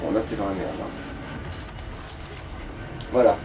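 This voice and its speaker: A man's voice: fairly low pitch, unhurried, warm tone, a little hiss or hum. A man chatting casually and bluntly, with swearing, as he advises someone playing a game. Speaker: co-commentator advising the player. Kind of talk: casual gaming chat. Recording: roomy, distant mic, game music behind. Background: game music.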